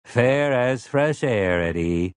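A man's voice: mid-range voice, animated, slow, clear, resonant tone, dry recording, clean studio sound. A man voicing a smug, polite male robot with stiff, formal, old-fashioned phrasing in an audiobook. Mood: smug, eager. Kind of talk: audiobook character voice.

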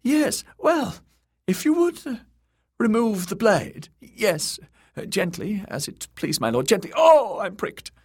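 A man voicing a frightened, simpering male eunuch spymaster who pants and fawns in high, breathy tones. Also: very animated, slow, heavily acted. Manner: fluttery, fawning, affected courtesy.

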